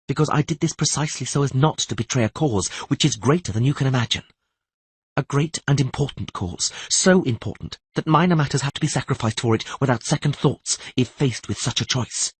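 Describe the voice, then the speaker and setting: A man's voice: mid-range voice, a little fast, husky, dry recording, pitched above the performer's own voice, close to an everyday voice. A man voicing a commanding sorceress in a fantasy audiobook, urgent, insistent and defensively righteous. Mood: defiant urgency. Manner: insistent, self-justifying.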